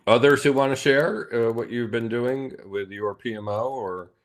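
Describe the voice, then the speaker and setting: A man's voice: medium pitch, measured pace. A man hosting a video call meetup, upbeat and encouraging in casual chat. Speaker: host.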